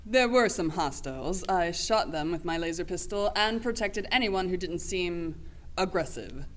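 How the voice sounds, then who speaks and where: A woman acts as a stern female lieutenant in a radio play. Her voice sits fairly low, and she speaks in formal, clipped, factual statements. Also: animated, normal speed, lightly acted. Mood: factual, steady. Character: stern, matter-of-fact female lieutenant from another world.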